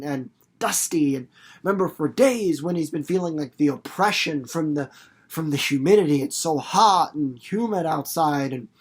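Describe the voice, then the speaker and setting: A teacher with a mid-range voice hosts a read-aloud lesson in a loose, chatty way. The delivery rambles and circles back, repeating words for emphasis. Explanations come with playful, theatrical little demonstrations aimed at students. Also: mid-range voice. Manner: rambling, theatrical, with acted-out examples and sound effects. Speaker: reader and literature teacher hosting a read-aloud video series.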